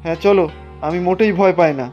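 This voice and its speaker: A man voices a young, educated village farmer in a cartoon. He is plucky and earnest, speaking quickly in exclamations. Soft music sits underneath. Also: sits high, steady tone, lo-fi sound, lightly acted. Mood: defiant. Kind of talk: Bengali cartoon voice acting.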